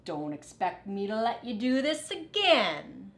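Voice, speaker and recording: A woman's voice: fairly high pitch, sing-song, measured pace, fairly bright tone, voice pushed up. Woman reading as a grouchy storybook dog. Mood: grudging. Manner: curt, grudging. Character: grouchy, reluctant old junkyard dog.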